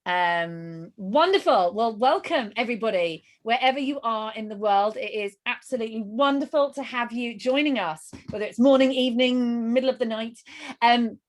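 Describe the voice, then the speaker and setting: A middle-aged woman hosting a live webinar over a video call, enthusiastic and gushing as she rattles off quick lists. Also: fairly high pitch. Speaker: webinar host.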